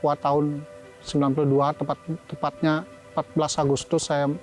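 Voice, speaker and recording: Man, 50s, from Bali, police officer, measured interview talk, highish pitch. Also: monotone, darkish tone, soft background music. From Bali, Indonesia. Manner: slow, measured, pausing between phrases. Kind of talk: interview testimony.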